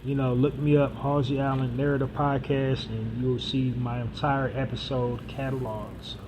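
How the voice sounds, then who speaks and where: A man talks through his own podcast in a loose, rambling way, full of fillers and restarts. His voice sits at an ordinary middle pitch. Steady noise from passing vehicles is plainly heard behind him. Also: normal speed. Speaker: podcast host. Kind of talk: solo podcast monologue.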